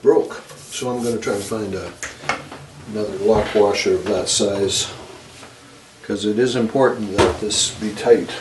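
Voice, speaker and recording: A man narrating a hands-on repair tutorial in a halting, unscripted way, as if working at a bench indoors. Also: fairly low pitch, steady tone. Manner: hesitant, unscripted, halting delivery. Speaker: electronics repair video host. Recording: roomy, distant mic, noisy background.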